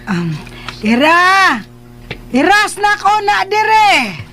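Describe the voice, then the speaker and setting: Chatty older mom type in a radio drama, high voice, slow and really animated. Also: female voice, a little hiss or hum, heavily acted. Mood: curious. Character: chatty motherly older woman, candid and warm-hearted.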